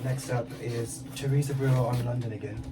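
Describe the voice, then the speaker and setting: Male record collector, casual unboxing commentary, medium pitch, heard at a distance from the mic. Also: steady tone, fairly quick. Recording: distant mic with clear background noise.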